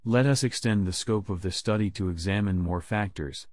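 Low-voiced man reading test sentences. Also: normal speed, a little mellow, dry recording. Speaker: test prompt reader.

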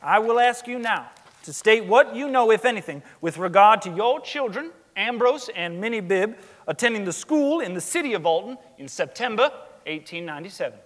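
A man's voice: sits high, expressive, fairly bright tone. A young man playing a courteous, methodical attorney who questions his client formally in a dramatic stage reading. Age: young adult. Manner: formal, measured. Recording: echoey theatre stage, long reverb.

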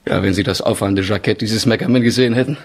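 An actor playing an eager, self-assured police sergeant in a 1970s radio crime play, speaking precisely, as if explaining. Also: male voice, lowish voice, quick pace, husky. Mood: confident, explaining.

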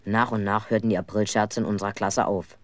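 A man plays an aggrieved schoolboy narrating his own tale in an old German children's radio drama. The voice is fairly low and earnest, recorded very dry. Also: flat delivery, a little fast, dry recording, close to an everyday voice. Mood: earnest storytelling. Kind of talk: first-person narration in 1970s German children's radio play.

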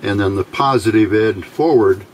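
A middle-aged man giving an informal hands-on tutorial in halting short phrases, pausing often as he explains. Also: fairly low pitch. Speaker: electronics hobbyist demonstrating a homemade circuit. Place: indoors at a workbench. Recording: steady clear background noise.

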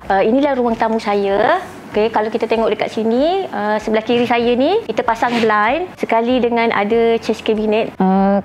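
A middle-aged woman with a Malaysian accent giving a casual, chatty tour of her house, often pausing to search for words. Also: a little high, a little mellow. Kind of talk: casual guided home tour to camera. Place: indoors, rooms of a furnished house. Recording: slightly dull sound with faint background noise.

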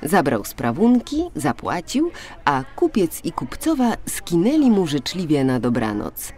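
Woman narrator for a children's fairy tale audiobook, deliberate pacing, highly melodic delivery, music underneath. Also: wildly swooping pitch, warm tone.

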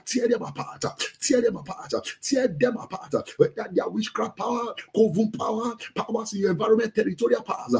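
A Nigerian-accented man leads prayer as a pastor in a high voice. His delivery is fervent and insistent, in a charismatic preaching style. He drives prayer lines home through forceful repetition. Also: creaky. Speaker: pastor leading online prayer meeting.

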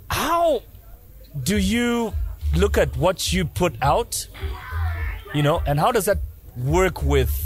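A young man hosting a podcast who asks his questions haltingly, searching for words, on a boom mic at an outdoor night set. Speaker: podcast host. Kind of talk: interview questions. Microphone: studio boom mic.

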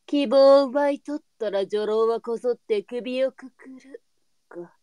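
A woman's voice: a little high, unhurried, mellow, clean tone, no echo, natural voice. A woman playing a pragmatic, brusque brothel mistress in a live period voice drama, with a blunt and knowing way of talking. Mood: exasperated. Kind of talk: online live voice drama acting.

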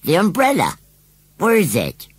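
Rustic storybook scarecrow, touchy but kindly, stammering, drawn-out words, lively swooping pitch, dry close sound. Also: male voice, slightly rough, dry recording, heavily acted. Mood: halting, matter-of-fact.

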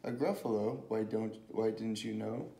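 A man's voice: on the low side, moderate pace, creaky, dark tone. A man voicing a small, clever brown mouse who is polite, cheeky and boastful in a storybook reading. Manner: polite, cheeky, self-assured. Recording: echoey room with faint noise.

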